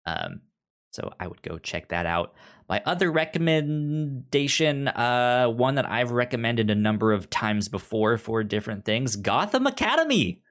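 A man's voice: medium pitch, big pitch swings, normal speed, fairly bright tone. A man hosting a podcast in casual chat, stuttering as he repeats sounds and syllables. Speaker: podcast host.

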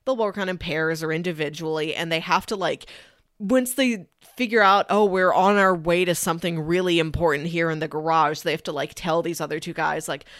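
A woman with a fairly low voice chats through a film as a podcast co-host. Her delivery is conversational and analytical, and the sound is very dry, with little room in it. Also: brisk, a bit bright, no echo. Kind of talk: casual film discussion.

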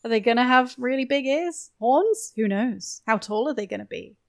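A woman's voice: fairly high pitch, animated, normal speed, creaky. A woman chatting casually on a podcast about a video game, musing in a playful, jokey way. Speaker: podcast guest.

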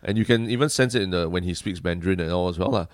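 A young man with a Singaporean accent, co-hosting a podcast in relaxed, agreeable chat, ending phrases with "lah". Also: deep voice, rapid-fire, dry recording, studio quality. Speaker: podcast co-host. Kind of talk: casual chat.

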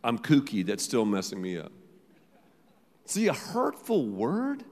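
A middle-aged pastor preaching a sermon, emphatic, repeating phrases, swinging between loud and quiet. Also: male voice, mid-range voice, wildly swooping pitch, unhurried. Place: large church auditorium. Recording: long hall reverb.

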